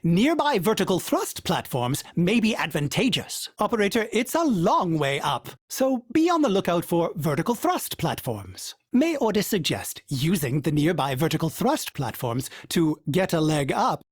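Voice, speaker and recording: A prissy polite ship ai in a game, talks about itself in third person, pitch swoops around, dry close sound. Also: male voice, sing-song, moderate pace, hardly any pauses, slightly rough, no echo, exaggerated voice. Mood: urgent. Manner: prim and formal, speaks of itself in third person. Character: fussy, polite male ship AI. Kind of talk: video game voice lines.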